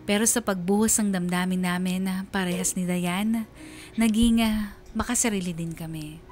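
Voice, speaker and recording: A woman's voice: mid-range voice, steady tone, normal speed, darkish tone. A woman telling a first-person love story on radio in an emotive, confessional, dramatic style. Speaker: radio storyteller reading a listener's letter. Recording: music under the voice. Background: background music.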